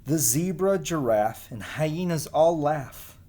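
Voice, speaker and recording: A man's voice: fairly high pitch, animated, slow, warm tone. A man speaking as a teacher in a casual read-aloud lesson for children, informal and explanatory. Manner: informal, explains and asks listeners questions. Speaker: teacher reading aloud to pupils.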